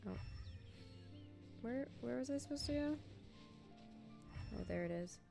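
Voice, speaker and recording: A woman's voice: fairly high pitch, animated, a little slow, vocal fry, dark tone, soft background music. A woman streamer chatting casually and thinking aloud while she plays a game live.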